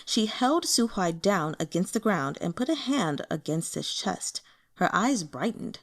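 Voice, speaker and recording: A woman reading a fantasy novel aloud as an audiobook narrator, in an expressive scripted style. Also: lowish voice, a little slow.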